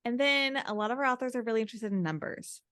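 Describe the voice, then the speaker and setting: A woman's voice: fairly high pitch, fast, a bit bright, clear, resonant tone. A woman hosting a podcast interview, putting questions to a guest in a conversational way.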